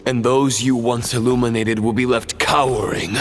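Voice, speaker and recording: A man's voice: medium pitch, fairly even intonation, normal speed, close to an everyday voice. An actor playing a proud, grave fallen knight in a video game, solemn, theatrical and condescending as he addresses his foe. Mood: scornful, ominous. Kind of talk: dramatic video game voice acting. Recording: music behind the voice. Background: dramatic game music.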